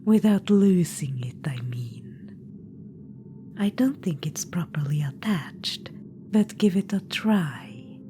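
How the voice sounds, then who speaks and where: A woman's voice: fairly low pitch, lively intonation, takes it slowly, dark tone. A woman voicing an imperious undead witch-queen spirit in an ASMR audio roleplay. She speaks in a whisper, teasing, condescending and commanding. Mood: coaxing whisper. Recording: clear background noise.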